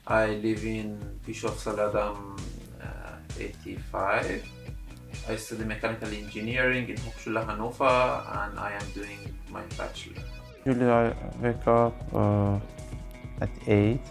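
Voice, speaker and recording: A man's voice: lowish voice, flat delivery, unhurried, gravelly, faint music behind. A young man from Iran, an engineering student, introducing himself hesitantly with frequent fillers. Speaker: student interviewee. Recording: distant, echoey.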